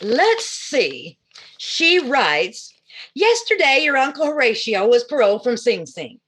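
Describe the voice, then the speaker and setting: A woman playing a teasing, wisecracking sidekick in 1940s radio comedy style, with sassy, deadpan quips. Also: fairly high pitch, takes it slowly, breathy edge, full character voice. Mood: droll reading.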